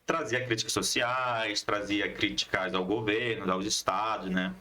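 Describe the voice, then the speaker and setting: Bright-voiced man explaining conversationally. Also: fairly low pitch, steady tone, a little slow. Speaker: guest, history teacher and comics scriptwriter.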